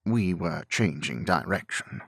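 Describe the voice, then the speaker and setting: Man acting a storybook aristocrat, haughty, curt, scornful, lower register, unhurried. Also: animated, gravelly, husky, dry recording, clean studio sound, heavily acted. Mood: irritated. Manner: scornful, clipped, imperious.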